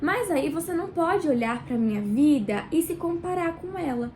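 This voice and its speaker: A woman with a Brazilian Portuguese accent hosts a book club video in her small kitchen, chatting warmly and directly to the viewer, nasal and stuffed up from a cold. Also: high voice, animated, slight background noise. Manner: warm, chatty, addresses viewer directly with pauses. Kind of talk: casual devotional reading vlog.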